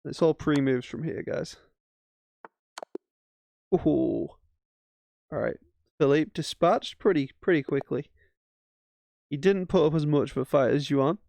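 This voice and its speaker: Man musing over chess moves, gravelly. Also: fairly high pitch, a little slow, pauses often, darkish tone, dry recording. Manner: musing, self-mocking, thinking aloud. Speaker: chess streamer. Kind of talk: casual chess commentary while playing.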